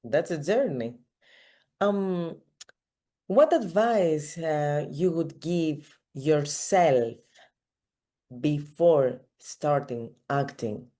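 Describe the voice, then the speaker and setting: Very slow-speaking woman hosting an interview. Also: low voice, lively intonation, warm tone. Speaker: interview show host. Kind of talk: casual interview chat.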